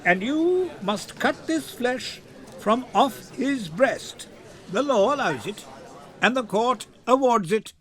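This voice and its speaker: A man voicing a stern young woman disguised as a learned judge in a dramatic Shakespeare reading, measured and declamatory. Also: extremely high pitch, animated, a bit bright, heavily acted. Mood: stern, deliberate.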